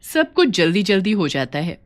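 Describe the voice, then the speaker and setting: A woman's voice: fairly low pitch, sing-song, moderate pace. A woman narrating a scripted folk tale for a cartoon, as a storyteller.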